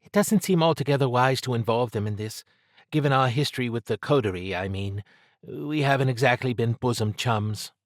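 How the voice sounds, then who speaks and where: A man's voice: medium pitch, fairly quick, dry recording, clean studio sound, pitched above the performer's own voice, close to an everyday voice. A man voicing a cautious, polite subordinate agent who reports deferentially in audiobook dialogue. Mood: uneasy.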